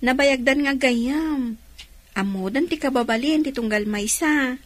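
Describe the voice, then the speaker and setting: An actress playing a playful, giggly younger sister in an Ilocano radio drama, young, with a Filipino Ilocano accent and a teasing manner. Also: female voice, high-pitched, measured pace.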